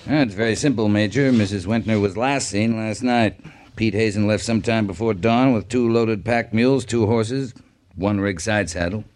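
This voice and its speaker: An actor playing a seasoned, terse cavalry captain in a 1950s radio western, speaking with laconic authority. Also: male voice, lowish voice, normal speed, darkish tone, natural voice. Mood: grave, matter-of-fact. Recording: noisy old 1950s radio recording.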